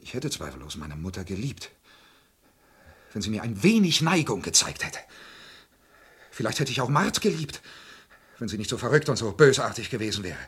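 An actor playing an intense, lovesick murderer in a radio play, impassioned, moving between hushed and outraged delivery. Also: male voice, fairly low pitch, very animated, moderate pace, long pauses, husky, exaggerated voice. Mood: subdued, tender. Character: intense, wounded, lovesick man who confesses to murders. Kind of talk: dramatic radio play acting.

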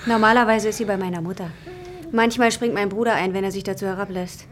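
A woman's voice: mid-range voice, steady tone, fast, natural voice. An actress playing a guarded, worried young waitress and mother in a radio crime drama. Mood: guarded, brisk. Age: young adult.

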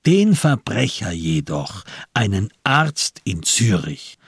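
A man giving a deliberate, solemn scripted literary narration for a radio drama. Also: lowish voice, sing-song, creaky, breathy edge, no echo, clean studio sound.

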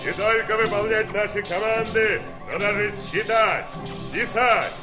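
An actor playing a greedy, boastful and bossy giant showman in an audio fairy tale, barking like a fairground crier with drawn-out emphasis. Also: high voice, over music.

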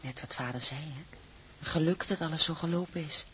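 A young sailor's wife, gentle and devoted. She speaks softly and intimately on the low side for a woman, in radio drama style, with faint hiss on the recording. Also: normal speed. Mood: tender, content. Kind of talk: Dutch radio drama acting.

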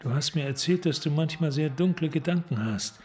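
An actor playing a calculating police detective in a radio drama, talking in terse, commanding instructions. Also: male voice, on the high side, fairly quick, a little mellow, lightly acted. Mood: urgent, controlled.

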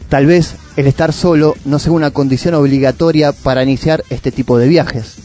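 A man's voice: on the high side, fairly even intonation, moderate pace, over music. A man with a Rioplatense Argentine accent presenting a radio literary segment, reading poetic prose and monologue in a reflective way.

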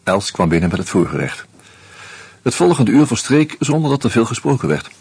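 An actor playing an anxious out-of-work husband who narrates in the first person, wry and hesitant, in a radio play. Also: male voice, mid-range voice, sing-song, a little fast, darkish tone, breathy edge.

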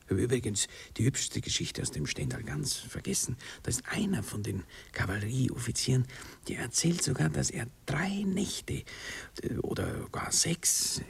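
Breathy, gravelly, nervous young lover. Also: male voice, medium pitch, animated, whispered, full character voice. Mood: awkward, explaining. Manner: halting, stammering, breathy, self-pitying. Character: nervous, sensitive, lovesick young gentleman lover. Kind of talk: 1960s German radio drama acting.

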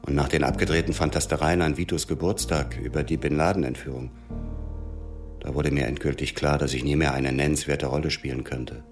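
An actor playing a wounded, grieving former intelligence agent, speaking in a subdued, reflective way in a radio drama. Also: male voice, very deep voice, steady tone, fairly quick, faint music behind. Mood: resigned.

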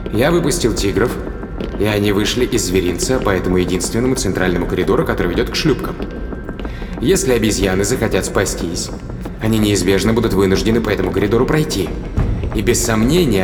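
A man's voice: fairly low pitch, lightly acted. An actor playing a bossy, curt spaceship crewman who lays out orders in a science fiction audio drama. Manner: commanding, curt, explanatory. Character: tense, bossy male spaceship crewman planning an ambush. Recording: echoey hall reverb under music. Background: music.

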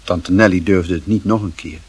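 A man narrating a literary radio play as a wry storyteller with a husky voice. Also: low-pitched, steady tone, normal speed. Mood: measured, wry.

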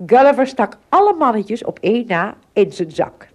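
A woman with a slightly husky voice, narrating a story in a dramatic storytelling style. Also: a little high, sing-song, moderate pace, heavy background noise.

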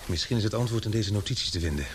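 A man acting in a Dutch radio drama as a sharp, suspicious investigator, speaking deliberately with hesitant pauses. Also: fairly low pitch, lively intonation, noisy background, close to an everyday voice. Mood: grave, reasoning.

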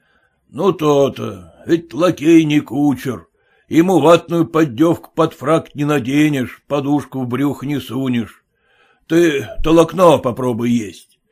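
A man's voice: on the high side, a little slow, darkish tone. A man voicing a stingy, domineering merchant who speaks bluntly and scolds his servants. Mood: gruff bossy. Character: gruff stingy old merchant, bossy.

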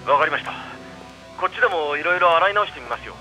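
An actor playing an eager, casual young detective in a radio drama. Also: male voice, fairly high pitch, animated, fast, pushed and bright, faint music behind, rough recording.

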